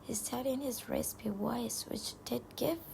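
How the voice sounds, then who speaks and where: Slow whispering woman with gravelly texture. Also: on the high side, darkish tone, slight background noise. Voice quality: unvoiced whisper. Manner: slow, halting read-aloud whisper. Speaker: fan fiction reader. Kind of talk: whispered story reading.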